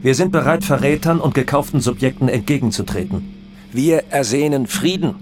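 An actor playing a defiant, self-assured drug cartel boss in a German radio docudrama, with a threatening, declamatory delivery. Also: male voice, mid-range voice, brisk, lightly acted. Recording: voice over prominent guitar music. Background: guitar music.

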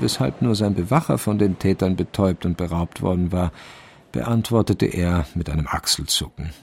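An actor as a dry, deadpan male narrator of a crime radio play. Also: low-pitched, gravelly, husky, no echo, heavily acted. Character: dry, wry male storyteller of a crime tale. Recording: faint music behind the voice.

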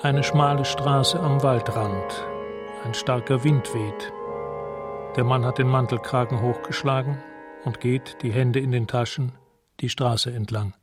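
A man narrating a scripted radio drama in a measured, matter-of-fact way, describing scenes in the present tense. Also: mid-range voice, fairly even intonation, loud music behind the voice.

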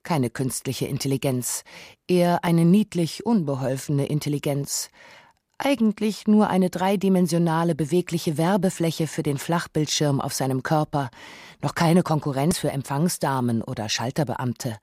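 A man's voice: fairly high pitch, moderate pace, a little mellow, no echo, clean studio sound. Wry radio narrator, a man. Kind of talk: scripted radio documentary narration.

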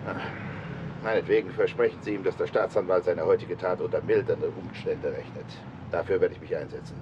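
An actor playing a stern, pragmatic chief police inspector, formal and authoritative, in a radio drama. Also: male voice, deep voice, steady tone, brisk, dull audio, natural voice. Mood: firm. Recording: 1970s radio play with clear background noise.

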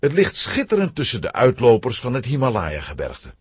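A man narrating a scripted children's adventure story in an expressive storytelling manner. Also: medium pitch, brisk, husky, dry recording.

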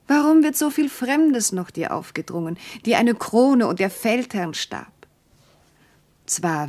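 An actress playing a tender, devoted noble wife, speaking classical verse with earnest, lyrical declamation in a radio drama. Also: female voice, fairly high pitch, a little mellow.

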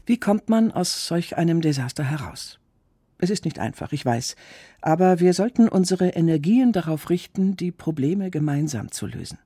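A male actor voicing a sober, troubled writer in a radio monologue, speaking in measured, emphatic short phrases with a husky, gravelly voice. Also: fairly high pitch, animated, dark tone, dry recording, clean studio sound. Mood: grave, argumentative. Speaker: actor reading a writer's reflections. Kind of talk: spoken essay monologue in a radio production.

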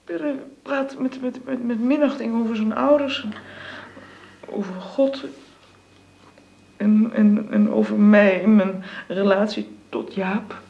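A woman's voice: a little high, steady tone, slow pace, gravelly. An actress playing a pious, upset young woman in a Dutch radio drama, polite but hesitant and stammering. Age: young adult. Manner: hesitant, stammering when distressed. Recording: dull, lo-fi radio play recording.

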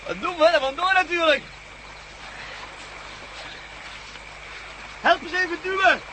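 An actor playing a blunt, stubborn bush pilot, proud of his old plane, giving hurried orders in a radio play. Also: extremely high pitch, sharp tone, slight background noise, rough recording. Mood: urgent.

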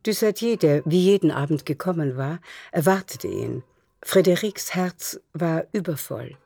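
A woman's voice: low voice, expressive, measured pace, gravelly, dark tone, soft background music. A woman narrating a literary radio drama as a storyteller.

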